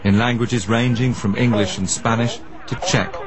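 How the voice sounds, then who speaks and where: A man's voice: mid-range voice, fairly even intonation, normal speed, over a noisy crowd. A man presenting a radio documentary, narrating and asking questions in a dramatic storytelling manner.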